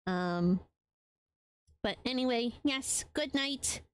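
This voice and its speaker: A woman from Latin America wrapping up a long game stream, her voice sitting a little higher than most. She talks casually and warmly to her viewers, often filling gaps with ums. Her voice sounds tired after hours of talking. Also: lively intonation, slow, smooth. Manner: chatty and affectionate, frequent ums. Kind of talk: casual chat with live viewers.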